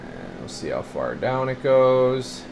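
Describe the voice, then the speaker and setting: A man's voice: medium pitch, huge pitch swings, slow pace, gravelly, a little mellow, a little hiss or hum. A man giving a casual, rambling hands-on walkthrough as a radio technician, thinking aloud as he works.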